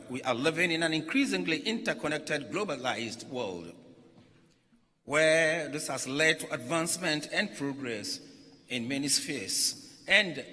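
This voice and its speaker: Man, very sharp bright voice, formal read speech, emphatic short phrases, long hall reverb. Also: on the high side. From Ghana. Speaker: religious leader reading a message at a conference.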